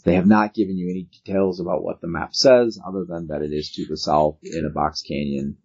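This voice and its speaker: Very low male voice, husky and creaky, halting game master narration, dull lo-fi recording. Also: steady tone, a little mellow. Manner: halting, thinking aloud as he describes. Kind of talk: narrating a tabletop role-playing game. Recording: dull, lo-fi sound.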